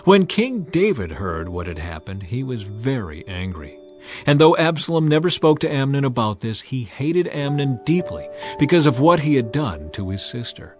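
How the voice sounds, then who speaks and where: A man reading scripture as an audiobook narrator, in a measured, expressive, dramatized storytelling style. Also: mid-range voice.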